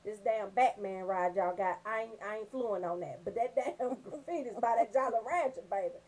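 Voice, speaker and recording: A woman with a Southern US accent telling comedic stories as a radio host, brash, animated and freely swearing. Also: mid-range voice, moderate pace. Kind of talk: comedic storytelling on a casual talk show.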